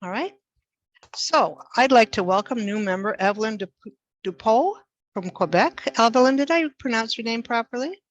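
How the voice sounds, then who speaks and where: Female chair, informal moderating, slightly high voice, a little dull video-call sound. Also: animated, moderate pace. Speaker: meeting chair welcoming members.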